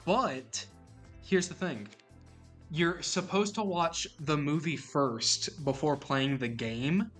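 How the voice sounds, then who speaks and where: A man co-hosting a casual gaming podcast, talking in an animated, joking way. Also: fairly high pitch, slow, faint music behind. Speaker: podcast co-host.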